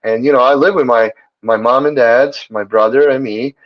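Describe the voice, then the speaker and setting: A man's voice: medium pitch, steady tone, normal speed. A man from Serbia, a guest on a health talk show, talking earnestly and rambling with many fillers. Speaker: chiropractor guest. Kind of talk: casual talk show chat. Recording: muffled lo-fi audio.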